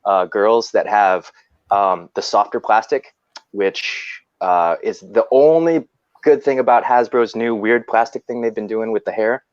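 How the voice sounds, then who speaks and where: A man guesting in a casual chat, explaining his methods in a rambling, halting way, full of fillers and restarts. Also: lowish voice, no echo, highs cut off. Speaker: guest customizer.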